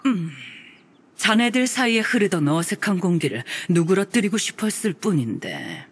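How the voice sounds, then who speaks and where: An actor playing a kindly elderly immortal in a video game, with old-fashioned, poetic and measured speech. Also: male voice, sits high, big pitch swings, husky, full character voice. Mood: courtly, gentle. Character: elderly adeptus, courtly, kindly, theatrical storyteller.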